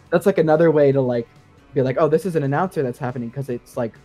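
A man's voice: medium pitch, quick pace, dark tone, soft background music. A man in a casual podcast discussion, explaining things in an enthusiastic, nerdy way. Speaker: guest sound designer.

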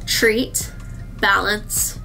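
A woman's voice: mid-range voice, flat delivery, drawn-out words, vocal fry, over music. A woman in her thirties, a licensed esthetician, chatting to camera in a skincare review, a little nasal from a cold and speaking directly to her viewers. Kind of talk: casual product review to camera. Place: indoor skincare treatment room.

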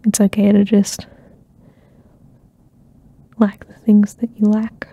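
Soft-spoken woman, husky, half-whispering. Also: mid-range voice, completely flat delivery, takes it slowly. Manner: soft-spoken, slips into whispers, many fillers. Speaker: ASMR channel host. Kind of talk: soft-spoken rambling catch-up chat. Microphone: close ASMR microphone.

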